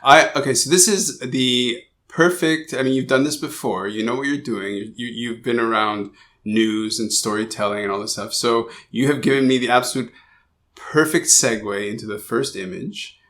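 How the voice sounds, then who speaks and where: A man hosting an interview podcast, describing a photograph slowly with many pauses and fillers. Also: mid-range voice, fairly bright tone. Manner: hesitant, describes at length with fillers. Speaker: podcast host. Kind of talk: casual interview chat. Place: guest's house.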